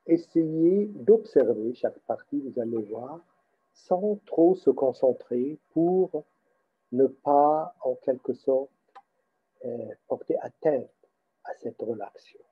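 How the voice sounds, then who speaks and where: A man guiding a meditation as its teacher, speaking haltingly in short phrases with pauses between them. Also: medium pitch, expressive, husky, dull audio. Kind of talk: guided meditation instruction.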